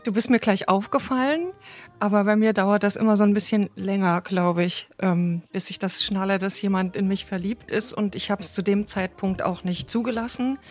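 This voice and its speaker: A woman in her mid-fifties from Thuringia in Germany, thoughtfully recounting her personal story, with soft music underneath. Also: medium pitch, fairly even intonation, normal speed. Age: 50s. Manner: reflective and thoughtful. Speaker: interviewee.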